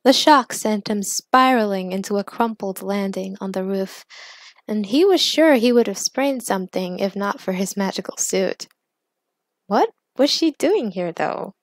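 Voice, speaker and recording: A woman narrating fiction aloud in an expressive, measured, dramatic storytelling style. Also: medium pitch, no echo. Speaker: narrator and author of fan fiction.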